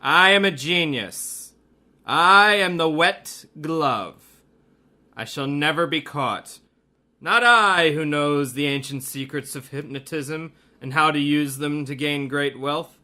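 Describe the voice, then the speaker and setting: An actor in a radio mystery playing a grandiose, greedy criminal mastermind, a gloating hypnotist doctor with a theatrical delivery. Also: male voice, on the high side, takes it slowly, sharp tone, full character voice. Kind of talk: radio mystery drama acting.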